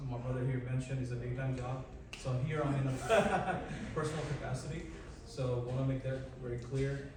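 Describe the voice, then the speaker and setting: A man's voice: medium pitch, noisy background. Man giving an earnest little speech at a community event, far from the mic, big echo. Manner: earnest and emphatic, clarifies points. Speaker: guest speaker. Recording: echoey hall from far off, loud chatter.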